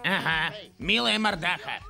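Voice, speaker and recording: An actor voicing a scruffy, greedy male street cat with a gravelly, cheeky and sarcastic delivery in a cartoon. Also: high voice, expressive, measured pace, music in the background, exaggerated voice. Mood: grumbling. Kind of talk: cartoon dub.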